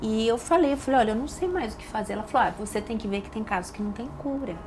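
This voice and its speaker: A woman's voice: fairly high pitch, very fast. A middle-aged woman with a Brazilian Portuguese accent, a doctor and podcast guest, giving a personal testimony with emotional, emphatic repetitions. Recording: audible background noise.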